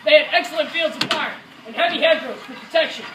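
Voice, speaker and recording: A man's voice: very high-pitched, fast talker. A man playing a dutiful American Second World War paratrooper, recounting combat in an earnest, rehearsed dramatic narration. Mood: urgent, forceful. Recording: echoey room from far off, faint noise.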